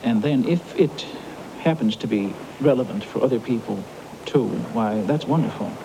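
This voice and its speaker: A man from Newfoundland, a novelist, giving deliberate, opinionated views in an interview on archival tape. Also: mid-range voice, expressive, vocal fry. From Newfoundland, Canada. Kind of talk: reflective interview talk. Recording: noisy archival documentary tape.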